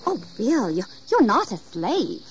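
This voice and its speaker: A genteel, proud and nervy plantation mistress in a history radio drama. Her high voice moves with animated emotion. Faint noise is behind her. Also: measured pace. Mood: genteel concern. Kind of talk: dramatized audio history acting. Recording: 1980s audio drama, insect ambience.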